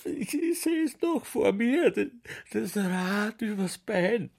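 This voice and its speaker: An overwrought sham mourner in a radio play, sobbing and lamenting. The high, husky voice swoops theatrically and drags out its words. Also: male voice, expressive, a little mellow, full character voice. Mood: sham grief. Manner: melodramatic wailing, drawn-out lamenting. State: crying. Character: theatrical sham-grieving man, wailing, slippery, overwrought. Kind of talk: German radio drama acting.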